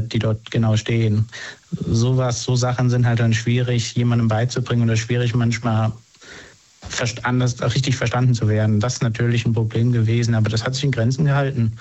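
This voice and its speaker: A man's voice: medium pitch, darkish tone, dull audio. A man answering interview questions casually, somewhat hesitant and rephrasing as he searches for words. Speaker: interview guest.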